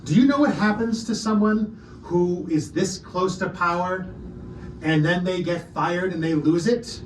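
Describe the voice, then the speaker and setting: A man plays an indignant little alien official in a high voice, pompous and legalistic, pleading his case in halting protests. The tabletop game recording is roomy and distant, with clear noise. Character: indignant, pleading Ferengi alien aide to a ruler.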